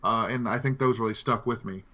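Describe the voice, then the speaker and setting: A man's voice: mid-range voice, fairly quick, sharp tone. A man co-hosting a podcast, giving film opinions in casual conversation, with slightly dull, lo-fi sound. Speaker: podcast co-host.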